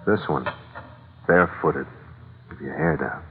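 An actor playing a tough, laconic sea skipper in an old-time radio noir drama, terse, dry and world-weary. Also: male voice, a bass voice, measured pace, creaky, a little hiss or hum, heavily acted. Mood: wry, intrigued. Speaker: lead character and first-person narrator. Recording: narrow old radio recording, music behind.

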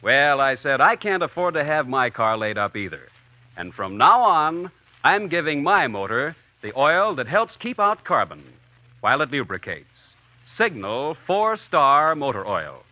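Very bright, forceful 1940s radio announcer man. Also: on the high side, big pitch swings, measured pace, no echo, low-quality recording. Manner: polished, emphatic delivery. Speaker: radio announcer, sponsor pitchman.

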